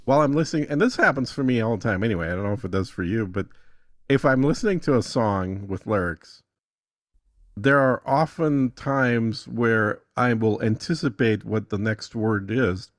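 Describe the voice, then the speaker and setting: A man's voice: mid-range voice, normal speed, no room sound, clean studio sound. A man talking casually as a guest giving a tutorial, thinking aloud in a matter-of-fact way.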